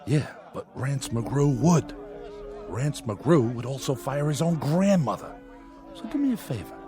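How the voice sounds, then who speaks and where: An actor playing a brisk TV director giving orders to cast and crew. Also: male voice, medium pitch, sing-song, warm tone, faint music behind. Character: brisk weary male TV director.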